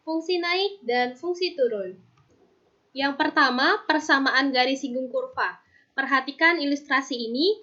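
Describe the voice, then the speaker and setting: A woman teacher from Indonesia explaining math step by step in a recorded online lesson, patiently slowing down on formulas. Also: high voice, pushed and bright, highs cut off. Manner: patient, steps through formulas aloud, slows on terms.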